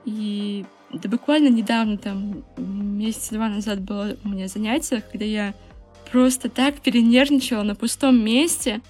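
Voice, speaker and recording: A woman's voice: mid-range voice, fairly even intonation, moderate pace, darkish tone, soft background music. A young woman hosting her own podcast, talking candidly about her life, often restarting her sentences. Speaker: podcast host. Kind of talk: personal podcast monologue.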